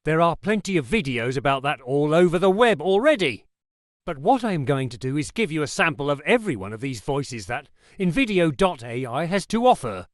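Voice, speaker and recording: A man casually narrating a tutorial as its host, in a relaxed, chatty way. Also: a little high, a little fast, no room sound, studio quality. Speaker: tech video host.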